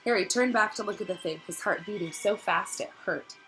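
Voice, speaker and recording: Bright-voiced woman reading a story aloud. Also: mid-range voice, fairly quick, soft background music, poor audio. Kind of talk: expressive read-aloud narration.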